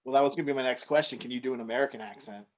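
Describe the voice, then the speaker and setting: A man's voice: mid-range voice, very fast, muffled recording, crowd noise. A man hosting a podcast, chatting casually and easily with a guest. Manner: laid-back and friendly, peppered with "man" and "dude". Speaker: podcast host. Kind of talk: casual interview chat.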